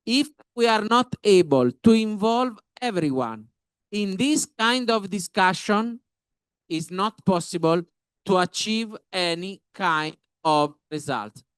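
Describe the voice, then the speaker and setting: A man with an Italian accent gives panel remarks in a smooth, rather high voice. He moves slowly, phrase by phrase, in halting but emphatic non-native English. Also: lively intonation, no room sound. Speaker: professor, panel speaker.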